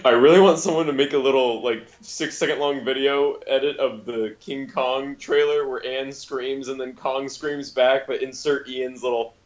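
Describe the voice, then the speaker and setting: A man's voice: mid-range voice, steady tone, normal speed, hardly any pauses. A man chats as the co-host of a review show. His delivery is casual and conversational. The recording is somewhat dull and lo-fi. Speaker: podcast co-host.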